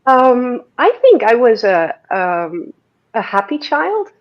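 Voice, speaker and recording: A woman's voice: a little high, sing-song, slow pace. A woman with a Dutch accent talks casually as a guest, her thoughts rambling through fillers and restarts. The recording is a little dull and lo-fi. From Netherlands. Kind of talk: casual interview conversation.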